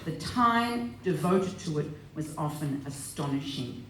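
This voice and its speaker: A woman's voice: sits low, lively intonation, a little slow, a bit bright. A woman narrating a scripted stage reading, recorded live in an echoey hall with slight noise.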